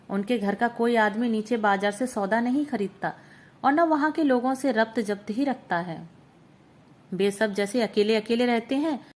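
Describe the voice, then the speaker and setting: A woman narrating a literary short story in a steady, measured storytelling manner. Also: a little high. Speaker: audiobook narrator.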